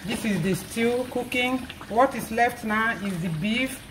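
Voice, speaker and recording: A woman with a hoarse, croaky voice calmly talks through a recipe as she cooks at home. Pots clatter and oil sizzles in the background, clearly audible under her voice. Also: fairly high pitch, a little slow. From Nigeria. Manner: relaxed, explains each step while cooking. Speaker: cooking channel host. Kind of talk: casual cooking narration. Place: home kitchen. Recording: noisy kitchen. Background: pots clattering and food frying.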